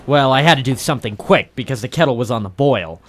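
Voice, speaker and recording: An actor playing a tough, cynical dockside private eye in 1940s radio noir style, deadpan and hard-boiled. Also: male voice, medium pitch, fast talker, a little hiss or hum, lightly acted. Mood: wry, brooding. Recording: vintage 1940s radio recording with music.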